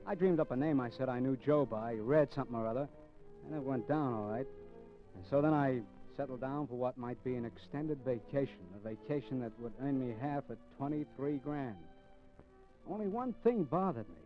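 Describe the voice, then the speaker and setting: A man's voice: mid-range voice, normal speed, lightly acted. A man acting a hard-boiled, cocky criminal in a fifties radio thriller. He talks in sardonic street slang with a schemer's confidence, on a dull vintage recording with music in places. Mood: cynical narration. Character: cocky, cynical, scheming male crook narrating his crime. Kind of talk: 1950s radio crime drama acting. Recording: muffled old 1950s radio recording.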